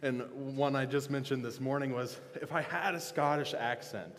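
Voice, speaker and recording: A male pastor giving a casual, joking sermon, often restarting and filling pauses with "um". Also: medium pitch. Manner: relaxed, joking, halting with fillers. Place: church sanctuary. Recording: long reverb of a church hall.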